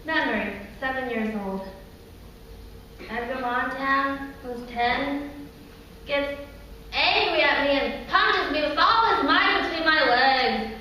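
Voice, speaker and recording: A woman's voice: high voice, takes it slowly, pushed and bright, heavily acted. An actress playing a woman reliving painful girlhood memories in an earnest, childlike stage monologue, recorded in an echoey hall. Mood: hurt, indignant. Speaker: stage performer. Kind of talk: theatrical monologue. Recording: echoey hall, distant mic, faint noise.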